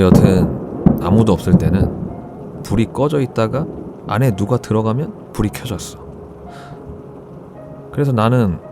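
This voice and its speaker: A man narrating a first-person horror story in a casual, conversational storytelling way, with deliberate phrasing. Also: lowish voice. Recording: background music under the voice. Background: music.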